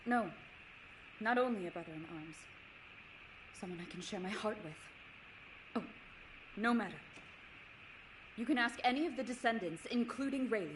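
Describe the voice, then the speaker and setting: A righteous female crusader voiced by a game actress speaks in solemn, old-fashioned formal phrases. She is proud and devout, with a mid-pitched voice over clear background noise. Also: moderate pace, pauses often, close to an everyday voice. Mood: earnest, insistent. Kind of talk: scripted video game dialogue.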